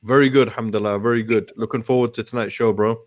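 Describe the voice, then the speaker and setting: A man's voice: on the low side, steady tone, fast talker. A man hosting a casual interview show, speaking in an informal, matey way with Arabic phrases sprinkled in. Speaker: talk show host.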